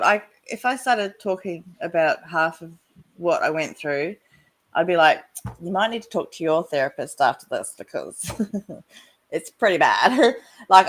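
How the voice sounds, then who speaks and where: A woman with a stuffy voice from a cold, sharing casually in an online recovery meeting, halting and restarting often. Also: on the low side, a bit bright. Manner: halting, many restarts, laughs between sentences. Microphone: video call.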